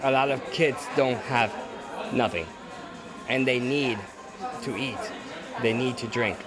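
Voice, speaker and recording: A man from Cuba, a painter and photographer, answers interview questions in short, earnest bursts. His voice is mid-pitched. Music and a murmuring crowd sound behind him outdoors. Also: measured pace. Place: outdoors at a busy art event.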